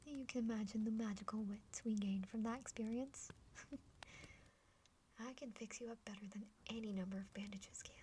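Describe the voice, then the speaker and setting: A woman voicing a friendly, curious younger half-dragon sister in a breathy, chatty whispered ASMR roleplay. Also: a little high, steady tone, moderate pace, dark tone, natural voice. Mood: friendly, helpful. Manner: chatty, playful, hesitant stammers. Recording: faint background noise.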